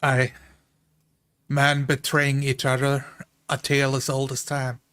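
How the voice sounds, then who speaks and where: A brave, dependable dwarf traveller, played by a man in a fantasy tabletop game. He speaks in a flat, mid-pitched voice, thoughtful and measured, with halting pauses. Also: clear, resonant tone, lightly acted.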